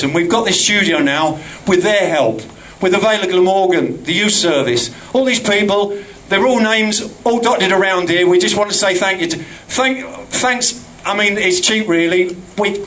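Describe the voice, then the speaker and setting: Brief: higher-voiced Cockney man, earnest rambling speech, faint room noise. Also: fairly bright tone, low-quality recording. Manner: rambling, earnest, self-deprecating. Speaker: project organiser giving a speech. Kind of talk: thank-you speech at an opening. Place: community studio opening event.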